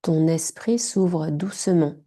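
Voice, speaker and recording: A woman guiding a yoga nidra relaxation in short, hushed, soothing phrases. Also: deep voice, slow. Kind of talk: guided meditation.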